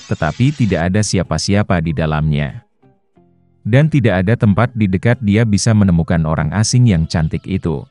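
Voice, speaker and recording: A man's voice: deep voice, warm tone. A man reading a scripted fairy tale as a storyteller for children, with music behind him. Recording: roomy echo under faint music. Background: faint background music.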